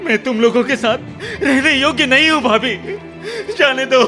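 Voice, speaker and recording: A man playing a remorseful, tearful younger brother in a radio drama, pleading haltingly and repeating his words. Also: very high-pitched. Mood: agitated, pleading. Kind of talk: Hindi radio drama acting. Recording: music under the voice.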